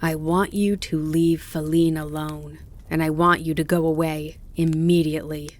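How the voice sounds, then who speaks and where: A woman's voice: flat delivery, normal speed, lightly acted. A woman voicing a young male deer who speaks eagerly, pleading and then firm. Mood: tense resolve. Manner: eager, pleading, rising pitch.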